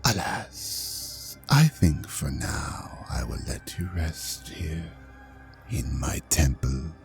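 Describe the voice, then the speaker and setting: An ancient male serpent god, speaks at an extremely slow, hypnotic pace in a low, gravelly voice. He is possessive and condescending, coaxing and menacing at once, with breathy whispers throughout the audio drama monologue. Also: very animated, soft background music, exaggerated voice.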